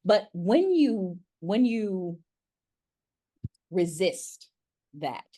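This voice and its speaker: A woman's voice: mid-range voice, big pitch swings, drawn-out words, warm tone, slight background noise. An American woman speaking as an interviewer in conversation, emphatic and repeating phrases to make her point. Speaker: interviewer and voice-acting community leader.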